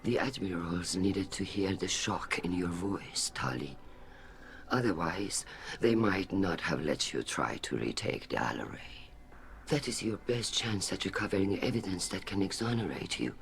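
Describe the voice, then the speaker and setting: A deep-voiced, solemn older alien admiral from a video game. He speaks in a measured, guarded way, with noise behind the voice. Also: expressive, a bit bright, exaggerated voice. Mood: grave, earnest. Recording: clear background noise.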